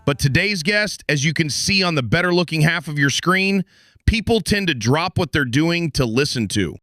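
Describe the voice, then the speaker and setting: A man's voice: fairly high pitch, expressive, moderate pace, bright, forceful tone, no echo. A man playing a brash, showy conspiracy radio host in an audio drama, with an emphatic, folksy announcer delivery. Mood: hyped showman. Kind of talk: bombastic talk radio hosting in an audio drama.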